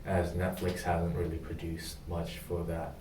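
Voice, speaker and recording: A young man from Indonesia answers interview questions in a deep, flat tone, often stopping to search for words. He sounds distant from the mic, in a room with a noticeable echo and faint ambient noise. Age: young adult. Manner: hesitant, many pauses and ums. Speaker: job candidate in a practice interview. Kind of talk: mock job interview answers.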